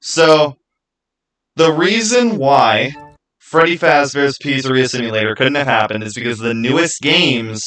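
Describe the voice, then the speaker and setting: A man's voice: mid-range voice, measured pace, fairly bright tone, faint music behind. A man streaming live, chatting casually about game lore in an emphatic way and repeating his phrases for stress.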